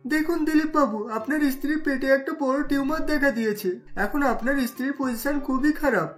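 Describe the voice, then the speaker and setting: A voice actor doing a brisk, raised-pitch doctor. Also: soft background music, close to an everyday voice. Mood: urgent. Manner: formal, urgent, professional. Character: brisk male hospital doctor.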